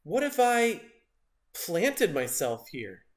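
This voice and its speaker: Young male avocado hero for a picture book, read by a man: earnest, determined, wide-eyed, fairly high and lively. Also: slow, full character voice. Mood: wonder. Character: small, brave, wonder-filled young male avocado adventurer.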